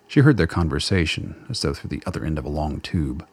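A man's voice: very deep voice, animated, brisk, a little mellow, slightly rough. A man reading scripted narration for a radio play as its storyteller. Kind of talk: scripted audio drama narration. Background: music bed.

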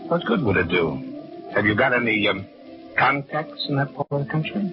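An actor playing a coolly menacing killer on the run in a vintage radio drama, mock-polite and curt. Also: male voice, medium pitch, very animated, moderate pace, close to an everyday voice. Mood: wary, controlled. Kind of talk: 1940s-50s radio drama acting. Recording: dull old radio recording, music behind.

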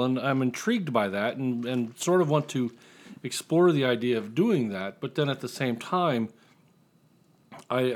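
A man speaking as a podcast guest in casual interview chat, explaining things reflectively. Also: mid-range voice. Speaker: podcast guest, writer and marketer.

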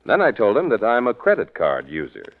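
An American man announcing in 1940s radio style, narrating and pitching in a folksy, conversational scripted way. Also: wildly swooping pitch, fairly quick. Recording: muffled vintage radio recording.

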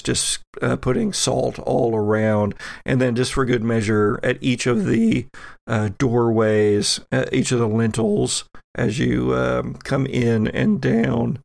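A man acting as game master, narrating a tabletop roleplaying game in a halting, deliberate, improvised way. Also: medium pitch, vocal fry, dry recording, studio quality.

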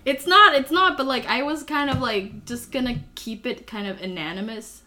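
A woman's voice: a little high, normal speed, pushed and bright. A young woman from Thailand, a native Thai speaker, co-hosting a podcast in casual chat, giggling often and using many fillers. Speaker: podcast co-host.

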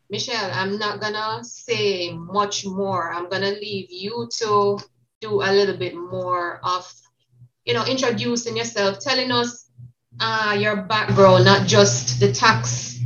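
A woman's voice: medium pitch, fairly bright tone, dull audio. Woman hosting a podcast, hesitant. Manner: loose, hesitant questioning. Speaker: podcast host. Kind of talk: podcast interview.

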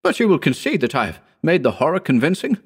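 A man voicing an exultant, commanding male horror writer, excitable and imperious, in an audiobook. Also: a little high, quick pace, husky, pitched above the performer's own voice.

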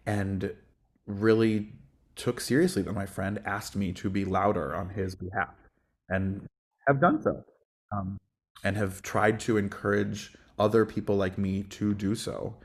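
A middle-aged man, a music director and voice teacher, telling a personal story as a podcast guest in a thoughtful way, pausing on fillers. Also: on the low side, steady tone. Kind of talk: reflective conversational storytelling.

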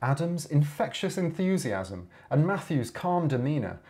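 A man's voice: on the high side, sing-song, unhurried, mellow. A man co-hosting a podcast, reading a whimsical story aloud with playful storybook emphasis and chatting casually in between. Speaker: podcast co-host.

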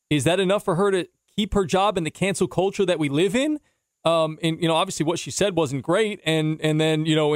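A man hosting a casual sports talk interview, talking in a halting, rambling way with repeated phrases. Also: on the high side, no echo, studio quality. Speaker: podcast host and interviewer.

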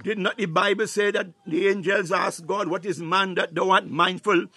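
A man's voice: high voice, fairly even intonation, normal speed, pushed and bright, breathy edge. A man preaching a fervent charismatic sermon and prayer, breaking his phrases with repeated exclamations.

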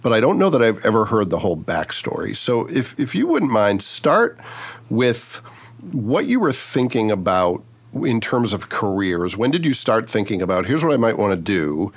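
A man's voice: on the low side, big pitch swings, moderate pace, husky. A man hosting a podcast interview, asking questions in a friendly, encouraging way with frequent affirmations. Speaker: podcast host.

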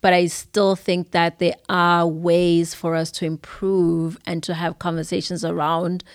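A woman's voice: lowish voice, level tone, measured pace, clean tone, dry recording, clean studio sound. A young adult woman from Zimbabwe with a Zimbabwean accent, talking thoughtfully as a podcast guest. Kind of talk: casual conversation.

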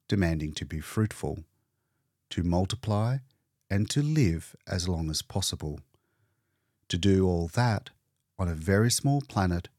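A man with a low voice narrates an audiobook story solemnly. He is measured and deliberate, taking his time, yet his intonation moves expressively. The sound is dry and close. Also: a little mellow, no room sound. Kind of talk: scripted literary narration, dramatic storytelling.

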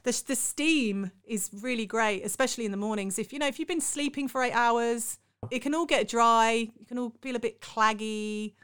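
A British woman speaks as an interviewer in a relaxed conversational style. Her voice sits a little high and sounds clean and smooth. She is chatty and readily affirming. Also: normal speed.

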